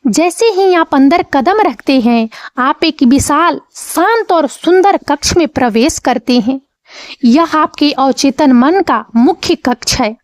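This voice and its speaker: A woman narrating a scripted guided meditation, with measured, soothing instructions. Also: high voice, expressive, no echo.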